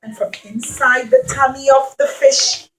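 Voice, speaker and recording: A woman telling a Bible story to young children in an expressive, teacherly way, with simple repeated phrases and questions. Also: high-pitched, unhurried, rough recording.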